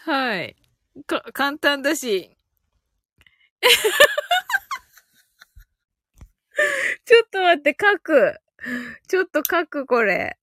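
A woman's voice: high-pitched, wildly swooping pitch, drawn-out words, long pauses, bright, forceful tone, no room sound, studio quality. A woman hosting a casual live audio stream, chatting playfully with listeners, giggly and often repeating phrases with amusement. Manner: giggly, breaks into frequent laughter.

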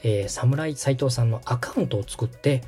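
Podcast tutorial host: mellow, slightly husky, explanatory, wide-moving intonation over background music. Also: medium pitch, moderate pace. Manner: explanatory, checks the listener's understanding. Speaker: host and radio director giving a tutorial.

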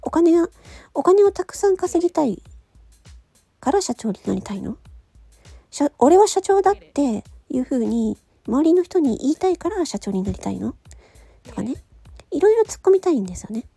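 A woman with a high, very animated voice that is mellow and breathy. She talks casually on her own in a questioning, analytical way, as if reasoning things out with the listener. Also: normal speed, long pauses, no room sound, soft background music. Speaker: host of a solo audio show, amateur voice actor. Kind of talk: casual solo chat.

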